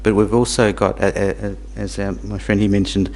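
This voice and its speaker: A man born in Tanzania, teaching Buddhism to a small group in a halting, repetitive way, with questions for the audience. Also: lowish voice, level tone, darkish tone. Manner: halting, repeats words, asks the audience questions. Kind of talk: dharma talk to a small group.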